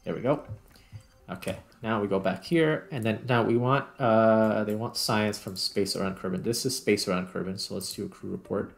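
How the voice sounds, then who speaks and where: A man's voice: lowish voice, moderate pace, creaky, faint music behind. A man doing casual gameplay commentary as a video host, thinking aloud and correcting himself as he goes.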